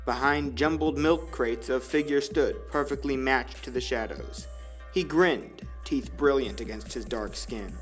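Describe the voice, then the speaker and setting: A man's voice: medium pitch, unhurried. Male story narrator over faint music.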